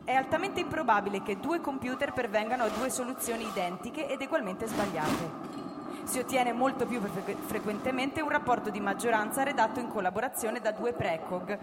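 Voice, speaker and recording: An actress playing a formal radio bulletin announcer, explaining in a measured, didactic, official way. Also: female voice, on the high side, natural voice. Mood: formal, explanatory. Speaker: radio newsreader in a drama. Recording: reverberant hall, music over the voice. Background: clear music.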